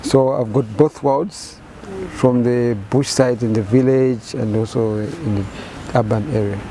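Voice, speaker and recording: A man in his fifties with a Zambian accent, answering interview questions in measured, halting phrases with many repeated words. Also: medium pitch, fairly even intonation, noisy background. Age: 50s. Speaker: painter and photographer interviewee.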